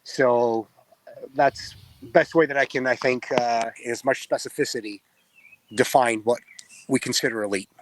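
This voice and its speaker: A man's voice: medium pitch, fairly even intonation, normal speed, a little hiss or hum, poor audio. A man in his forties with an Israeli accent, a tired reserve soldier giving earnest, explanatory interview answers full of ums and uhs. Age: 40s.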